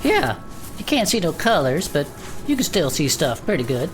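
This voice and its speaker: Folksy, lively, low-pitched adventurer. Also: female voice, normal speed, over music. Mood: chummy, reassuring. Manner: folksy, colloquial, excitable. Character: eager, folksy, protective former adventurer in a fantasy world. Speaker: interviewer. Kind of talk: immersive fantasy audio drama acting.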